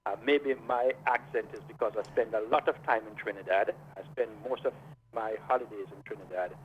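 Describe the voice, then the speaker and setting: A man's voice: fairly low pitch, fairly quick. A man from Jamaica, a lawyer, explaining the law step by step as a guest on a radio show. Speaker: immigration and criminal defense lawyer. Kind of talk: radio interview over a phone line. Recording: dull lo-fi phone line with faint hiss.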